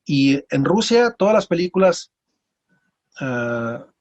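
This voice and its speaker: A man from Mexico with a Mexican accent, telling stories casually as an interview guest in a rambling, halting way with repeated words. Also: on the high side, big pitch swings, dull audio. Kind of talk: casual conversational storytelling.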